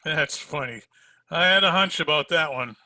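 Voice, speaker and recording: An actor playing a tough, hard-boiled private detective in 1940s radio drama style, sardonic and slangy. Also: male voice, on the high side, normal speed, bright, forceful tone, no echo. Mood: mocking.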